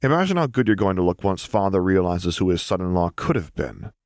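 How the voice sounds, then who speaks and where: A man's voice: low-pitched, lively intonation, a little fast, darkish tone, no echo, clean studio sound. A man voicing a mischievous Jedi master with a breezy, teasing, mock-innocent farm-boy manner in an audiobook story. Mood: sly.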